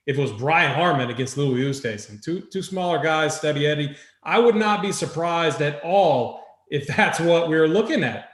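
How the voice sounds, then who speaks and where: Higher-voiced man talking sports picks, roomy sound. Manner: informal, conversational. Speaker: host of a fantasy golf picks show. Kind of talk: casual sports betting talk. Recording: roomy echo.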